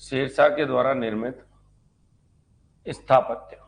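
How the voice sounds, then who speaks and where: Male history lecturer, mid-pitched voice, dictates in repeated phrases and poses questions he then answers. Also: fairly even intonation, creaky. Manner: repeats phrases slowly for note-taking; asks and answers his own questions. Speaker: history teacher. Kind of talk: live exam-prep lecture with dictation.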